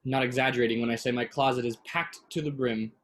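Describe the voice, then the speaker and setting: A man acting a gentle, dry-humoured young boyfriend in a staged play reading, understated and conversational. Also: mid-range voice, fairly even intonation, fast, lightly acted. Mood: wry, casual.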